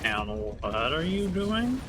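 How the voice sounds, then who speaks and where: A man's voice: sits high, big pitch swings, slow. A man streaming a video game, giving casual, dry commentary as he muses aloud. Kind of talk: casual live playthrough commentary. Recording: game music behind the voice.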